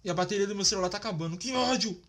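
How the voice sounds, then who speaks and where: A woman's voice: medium pitch, rapid-fire, a bit bright. A woman voicing a whiny, naive young boy with a Brazilian accent in a children's cartoon. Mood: irritated, curious.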